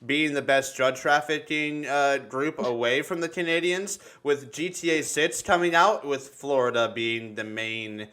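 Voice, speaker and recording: Excitable, bright-voiced young man swearing freely. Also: mid-range voice, unhurried, hardly any pauses, clear, resonant tone. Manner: profane, excitable. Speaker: podcast host. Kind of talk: casual livestream banter.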